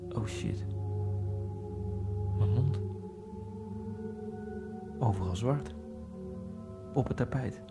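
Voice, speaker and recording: A man narrating a radio drama in the first person, in a halting, fragmented inner monologue with a gravelly voice. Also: fairly low pitch. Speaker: narrator and main character. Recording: radio drama with faint music.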